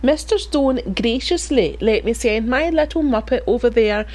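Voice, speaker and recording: A woman's voice: fairly high pitch, expressive, normal speed, darkish tone. An actress playing a small-town mother, sweet on the surface but pointed and assertive. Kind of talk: audio drama acting.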